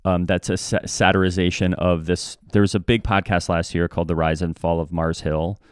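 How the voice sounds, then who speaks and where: A man's voice: low voice, little pitch movement, fairly quick, clear, resonant tone, dry recording, studio quality. A man talking casually and reflectively as a guest in an interview, often acting out bits of remembered dialogue. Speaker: podcast guest, improv comedian. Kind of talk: casual conversational interview talk.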